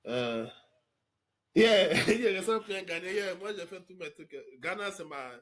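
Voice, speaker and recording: A man's voice: high-pitched, lively intonation, unhurried, fairly bright tone. Emphatic man hosting a live Q&A. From Côte d'Ivoire. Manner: emphatic, repeats words, drops English fillers. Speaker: trading course host answering questions. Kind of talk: live online Q&A talk.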